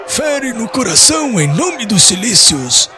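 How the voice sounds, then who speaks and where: A man's voice: extremely high pitch, very animated, measured pace, music in the background, a crowd in the background, pitched above the performer's own voice, full character voice. A man voicing a mocking, bloodthirsty member of an ancient mob, exclaiming in a dramatized audiobook. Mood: bloodthirsty, exalted.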